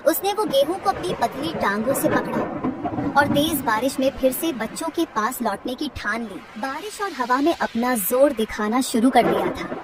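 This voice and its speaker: A woman narrating a children's story in a steady, gently dramatic way. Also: high voice, normal speed, far from the mic, a loud crowd, heavy background noise.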